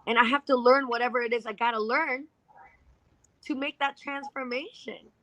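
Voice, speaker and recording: A woman's voice: fairly high pitch, fairly even intonation, normal speed, pushed and bright, no room sound, rough recording. A woman speaking emphatically in a motivational conversation as an interview guest, repeating phrases to drive points home. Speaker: financial services entrepreneur, interview guest.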